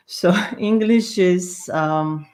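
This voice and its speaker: A woman's voice: on the low side, extremely slow, a little mellow. A middle-aged woman from Armenia with an Armenian accent, speaking reflectively in an interview and often searching for words.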